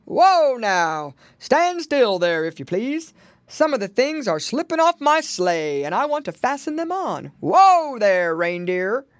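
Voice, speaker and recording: A woman's voice: on the high side, sing-song, measured pace, hardly any pauses, fairly bright tone, no room sound, clean studio sound, pitched above the performer's own voice. A woman voicing a jolly, round old man of Christmas with a hearty, calling manner, in a storybook.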